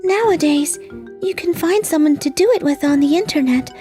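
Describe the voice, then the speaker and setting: A woman voicing a shy, very high-voiced lonely young wife. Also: moderate pace, close to an everyday voice. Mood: pleading. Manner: soft, pleading, hesitant. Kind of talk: anime-style manga dub acting. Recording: faint background music.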